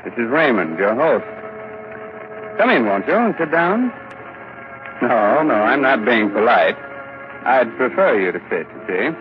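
Sinister old-time radio horror host. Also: male voice, mid-range voice, very animated, a little slow, long pauses, over music. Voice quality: sinister, theatrical. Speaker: show host and narrator. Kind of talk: 1940s radio horror narration. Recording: tinny 1940s radio broadcast, hiss.

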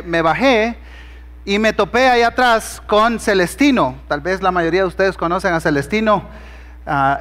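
High-voiced pastor with a Honduran accent. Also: male voice, fairly bright tone, big-room sound. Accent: Honduran Spanish. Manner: conversational, humorous, acts out dialogue. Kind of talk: sermon with storytelling.

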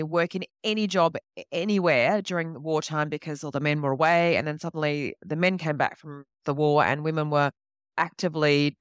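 A woman's voice: deep voice, smooth, dry recording, studio quality. A woman novelist answering interview questions in a reflective, explanatory, conversational way.

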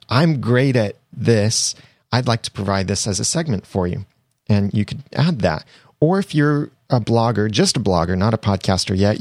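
A man's voice: on the low side, a little mellow, dry recording. A young man hosting his own podcast, chatty and upbeat, talking through tips while getting over a cold. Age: young adult. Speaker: podcast host. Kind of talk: solo podcast tips monologue.

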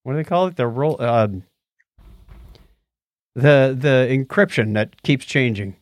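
A man's voice: mid-range voice, animated, measured pace, dry recording, studio quality. A man hosting a podcast, casually bantering and reading out odd news stories with jokey asides. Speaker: podcast host.

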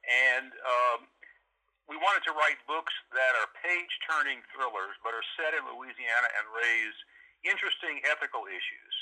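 A man's voice: mid-range voice, normal speed, pushed and bright. A man speaking as an author guest in an interview, heard over a tinny remote phone line. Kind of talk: interview conversation.

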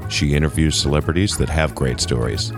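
A man reading a scripted podcast promo in a plain, steady way. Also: extremely low pitch, a little fast, over music. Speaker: promo announcer.

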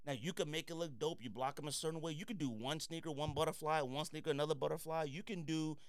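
A man's voice: on the high side, fairly even intonation, quick pace, clear, resonant tone, no echo, clean studio sound. A man hosting a podcast, giving casual sneaker reviews in an opinionated, slangy way and repeating points for emphasis. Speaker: podcast host.

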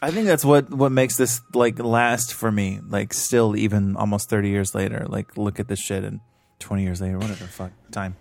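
A man's voice: fairly low pitch, fairly even intonation, normal speed, creaky, darkish tone, no room sound, soft background music. Podcast co-host, man, rambling, profane, dry close sound. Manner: rambling, swears freely, restarts often. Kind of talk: casual movie review chat.

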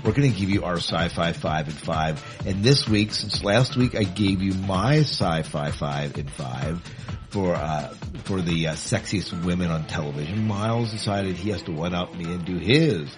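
Male podcast host, low voice, casual conversational delivery, music underneath. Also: moderate pace.

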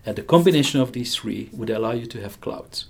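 An older man with a Hungarian accent answers interview questions with eager enthusiasm. His voice has a gravelly, creaky edge at a middle pitch. He chuckles often and stumbles into restarts as he talks. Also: expressive, a little fast, a little mellow. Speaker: physicist interviewee. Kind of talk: conversational interview.

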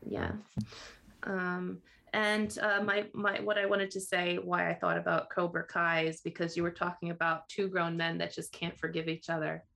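A woman's voice: fairly low pitch, completely flat delivery, normal speed, vocal fry, bright, forceful tone. A woman co-hosting a casual podcast chat, talking in a chatty, self-correcting way and laughing often. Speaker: podcast co-host.

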